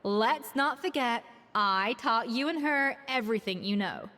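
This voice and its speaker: A woman playing a proud, confident master chef who speaks in a measured, self-assured way. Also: a little high, animated, pushed and bright, a little hiss or hum. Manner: self-assured, measured, turns wistful when alone. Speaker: head chef. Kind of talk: video game dialogue. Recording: reverberant game audio with faint music.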